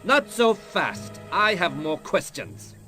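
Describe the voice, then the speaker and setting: An actor playing a suspicious, pompous ninja cult quizmaster in a video game, speaking clipped broken English with a mock Japanese accent. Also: male voice, high voice, sing-song, takes it slowly, music in the background, full character voice. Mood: haughty. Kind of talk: video game cutscene acting.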